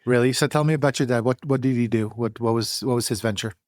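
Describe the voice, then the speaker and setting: A man hosting an interview podcast, speaking earnestly in deliberate, emphatic phrases. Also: mid-range voice, darkish tone, no room sound, clean studio sound. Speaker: podcast host.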